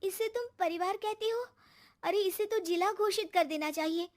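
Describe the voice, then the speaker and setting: A woman voicing a dutiful but cheeky, easily astonished young daughter-in-law in a cartoon story, expressive and exclaiming. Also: very high-pitched, normal speed, voice pushed up.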